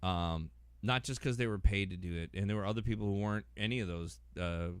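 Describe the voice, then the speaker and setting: Low-voiced man chatting on a podcast. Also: brisk, clear, resonant tone, no room sound. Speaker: podcast host. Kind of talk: casual podcast chat.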